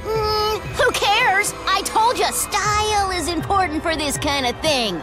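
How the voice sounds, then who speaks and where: A woman's voice: very high voice, measured pace. A woman voicing a brash, playful little boy in a video game. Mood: offhand. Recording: voice under loud music.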